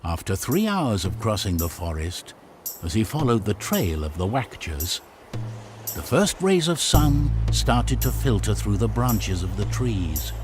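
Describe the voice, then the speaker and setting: A man narrating a game's story in a solemn, theatrical storybook style with measured delivery. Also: fairly low pitch, sing-song. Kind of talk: dramatic scripted storybook narration for a game. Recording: music behind the voice. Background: loud music.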